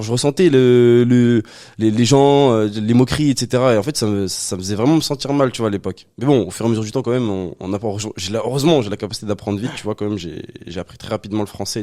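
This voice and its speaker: A man in his twenties, originally from Algeria, telling his life story as a podcast guest in relaxed chat full of fillers. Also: mid-range voice. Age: 20s. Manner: relaxed, many fillers and restarts. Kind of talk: casual conversation.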